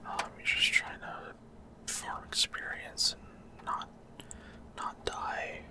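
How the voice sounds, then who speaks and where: A man doing ASMR gameplay commentary in a rambling, hesitant way, often trailing off mid-thought. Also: slow pace. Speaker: gaming ASMR creator.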